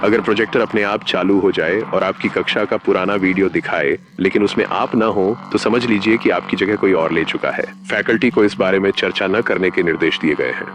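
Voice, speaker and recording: A man with a very low voice narrates a scripted horror piece styled as an official safety bulletin. His delivery is formal and measured, like an announcer. A noticeable ambient rumble sits behind the voice.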